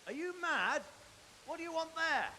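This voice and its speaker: A man playing a gruff, sardonic middle-aged knight lord in a medieval video game, calling out across a distance. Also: very high voice, expressive, normal speed, bright, forceful tone, exaggerated voice. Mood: stern. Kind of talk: dramatic video game acting. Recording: hissy, stormy game audio, reverberant.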